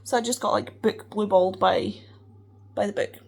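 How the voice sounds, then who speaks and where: A woman's voice: mid-range voice, moderate pace, vocal fry, husky. Gushing woman book vlogger. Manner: excited, gushing, trails off to a whisper. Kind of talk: casual vlog chat.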